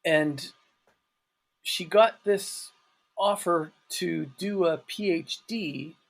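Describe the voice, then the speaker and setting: A man, a guest on a podcast, talking on a call from his office in a halting way, full of restarts. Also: high voice, vocal fry, a bit bright. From Canada. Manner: halting, with restarts and "you know, like". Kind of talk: casual interview on a call.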